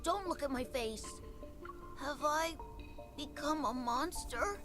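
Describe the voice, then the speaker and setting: A woman's voice: high voice, lively intonation, slow. A woman voicing a small, plaintive child character in a video game. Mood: frightened, ashamed. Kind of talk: scripted video game dialogue. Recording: game music behind the voice.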